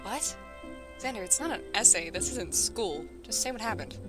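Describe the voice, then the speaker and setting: A woman's voice: mid-range voice, lively intonation, normal speed, music in the background, lightly acted. Brash, teasing woman sergeant played by a woman. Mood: teasing, blunt. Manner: blunt, irreverent, casual banter. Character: Edith, brash, teasing female spear-wielding sergeant. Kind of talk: fantasy audio drama acting.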